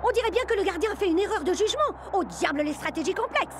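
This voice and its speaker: A woman voicing an excitable sports commentator calling a match in a cartoon dub. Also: very high-pitched, fast, background noise. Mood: excited. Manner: excited play-by-play.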